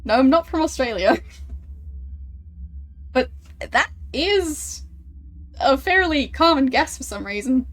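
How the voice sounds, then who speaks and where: Relaxed, slow-talking woman streamer. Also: high voice, soft background music. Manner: relaxed, chuckling, teasing about her accent. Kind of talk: casual livestream chat, reading chat.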